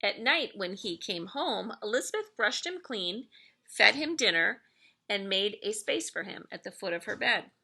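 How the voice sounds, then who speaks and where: Woman, middle-aged, school read-aloud narrator; bright tone with noticeable creak, expressive storytelling delivery. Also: mid-range voice, moderate pace. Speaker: elementary school staff member reading aloud to pupils.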